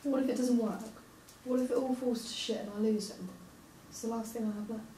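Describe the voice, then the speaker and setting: A woman's voice: on the high side, steady tone, brisk, dark tone, close to an everyday voice. A woman reading the part of an upset, wounded young woman at a drama table read, recorded at a distance in a noisy, echoey room. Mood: hesitant, anxious.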